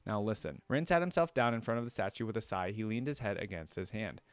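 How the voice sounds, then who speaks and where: A man with a fairly low voice reads a story aloud for a reaction video. His telling is expressive and slips into casual commentary. The sound is dry, with little room around it. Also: quick pace, dry recording.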